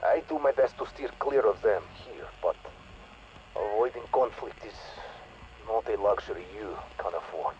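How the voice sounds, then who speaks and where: An actor with a Russian accent playing a lone male radio operator in a video game, speaking in a halting, earnest way over a radio transmission. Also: lowish voice. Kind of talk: video game audio log. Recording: muffled radio transmission with static.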